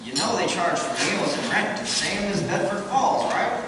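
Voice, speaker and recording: A woman playing a short-tempered small-town banker in a live radio play, talking in a lively way that turns sharp. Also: on the low side, normal speed, over a noisy crowd, lightly acted. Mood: kind. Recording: echoey hall from far off, noisy.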